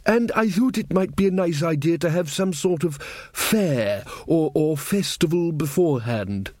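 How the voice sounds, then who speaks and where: A man's voice: fairly high pitch, expressive, a little slow, darkish tone, no echo, voice pushed up. A man voicing an earnest, pedantic young king who stammers and lectures cheerfully. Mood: earnest, fussy. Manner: pedantic, self-satisfied, stammering.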